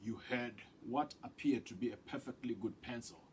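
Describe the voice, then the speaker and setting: A man voicing a wise, elderly Indian grandfather in a storybook, speaking in a measured, emphatic, instructive way. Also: medium pitch, a little hiss or hum, lightly acted. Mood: firm, questioning.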